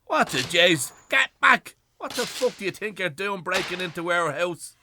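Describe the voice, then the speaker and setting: A man's voice: high voice, animated, normal speed, pushed and bright, a little hiss or hum, exaggerated voice. An actor playing a retired Irish policeman, a loving but defiant old husband, in a radio play. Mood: outraged, defiant. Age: older.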